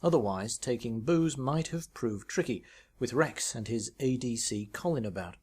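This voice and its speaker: A British man reads a short story as narration, in an expressive, wry storytelling manner. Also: mid-range voice, normal speed, husky. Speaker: author reading own short story.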